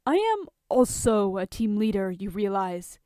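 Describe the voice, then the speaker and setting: A woman's voice: mid-range voice, big pitch swings, measured pace, dark tone, no room sound. A woman voicing a grumpy, guarded male billionaire in a story reading, curt and sardonic. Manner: curt, scolding, dry.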